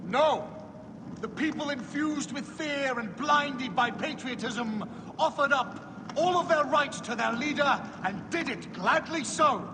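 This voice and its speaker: A proud roman dictator, grand speechy delivery, high voice, big echoey hall w noise. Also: male voice, a little slow. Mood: grandly persuasive. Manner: declamatory, oratorical. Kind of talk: dramatic video game cutscene acting. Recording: noisy, long reverberant hall.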